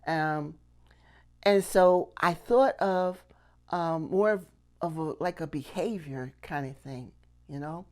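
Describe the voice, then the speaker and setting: Woman, middle-aged, slightly low pitch, casual instructor, conversational, frequent check-in questions, lapel mic indoors. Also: takes it slowly, darkish tone. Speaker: puppetry instructor and channel host. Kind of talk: casual instructional talk to camera. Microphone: clip-on lapel mic.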